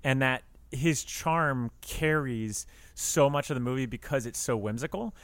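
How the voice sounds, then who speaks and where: Male podcast host, medium pitch, very dry close sound, casual movie chat. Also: measured pace, a bit bright, dry recording. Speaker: podcast host leading segments. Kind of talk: casual film discussion.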